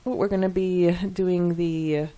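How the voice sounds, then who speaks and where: A woman co-hosting a podcast, chatting casually and reading comments aloud in an enthusiastic, halting way with repeated starts. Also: fairly low pitch, fairly even intonation, mellow. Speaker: podcast co-host.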